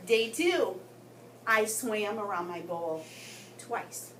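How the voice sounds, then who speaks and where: Woman reading aloud, roomy distant recording. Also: mid-range voice. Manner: expressive, with dramatic pauses and emphasis. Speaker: guest story reader for children. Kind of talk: read-aloud storytelling to children. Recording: roomy, distant mic with background noise.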